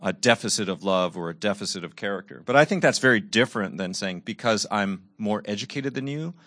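Earnest low-voiced man thinking aloud. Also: animated, brisk, a bit bright, no room sound, clean studio sound. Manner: earnest, repeats words as he thinks. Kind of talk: reflective panel answer.

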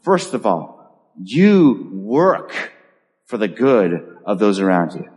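A man's voice: fairly low pitch, sing-song, takes it slowly, darkish tone, in a large hall. A man pastor preaching a sermon, driving points home with emphatic repetition and telling a personal story. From Boston area, US. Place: church.

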